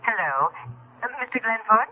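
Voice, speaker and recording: Society lady: genteel busybody, high swooping voice, tinny telephone line, old radio acting. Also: lively intonation, normal speed. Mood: polite. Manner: fussy, effusive, genteel. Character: gushing, prim, easily offended society lady. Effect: telephone filter.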